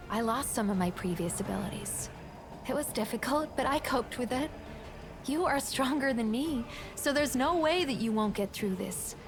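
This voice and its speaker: Woman acting an earnest, higher-voiced reformed demoness. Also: lightly acted. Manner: earnest, encouraging. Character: gentle, encouraging reformed female demon. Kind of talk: video game voice acting.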